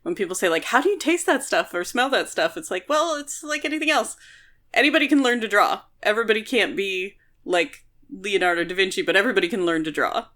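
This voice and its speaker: A woman with a crisp, bright voice co-hosts a casual book discussion. She is chatty and likes to explain, leaning on key words for emphasis. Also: medium pitch, lively intonation, fast. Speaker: podcast co-host.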